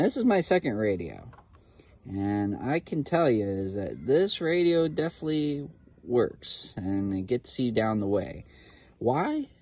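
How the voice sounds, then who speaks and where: Man, slow unhurried delivery, informal rambling hobbyist demo with filler words. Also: lowish voice, darkish tone. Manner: informal, rambling, many "you know" fillers; admits to nervousness. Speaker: hobbyist radio reviewer. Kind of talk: casual show-and-tell explanation.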